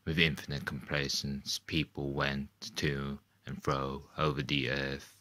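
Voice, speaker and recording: A man playing a grave, ominous radio play narrator with a slow, solemn, measured delivery. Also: a bass voice, little pitch movement, pushed and bright, no room sound, pitched below the performer's own voice, heavily acted. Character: grave, ominous male narrator of an alien invasion tale.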